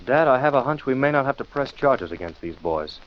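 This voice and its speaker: An actor playing a confident, cool amateur detective in 1940s radio style, assured and commanding. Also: male voice, medium pitch, very animated, a little fast, close to an everyday voice. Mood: assured, stern. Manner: taunting, assured, crisply commanding. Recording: muffled, noisy 1940s radio recording.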